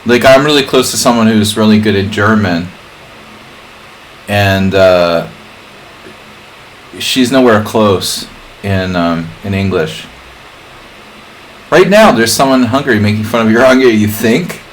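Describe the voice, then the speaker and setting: A man's voice: lowish voice, unhurried, long pauses, low-quality recording. A man hosting a livestream in casual, rambling chat, drifting off on jokey tangents. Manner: digressive, jokey. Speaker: livestream host, chess streamer. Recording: clear background noise.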